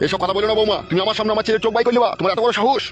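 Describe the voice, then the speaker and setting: An actor as an exasperated, fast-ranting older father. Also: high voice, fairly even intonation, lightly acted. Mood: indignant. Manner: crude, lamenting, indignant. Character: exasperated older father-in-law, scolding and self-pitying. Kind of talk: comic cartoon dialogue.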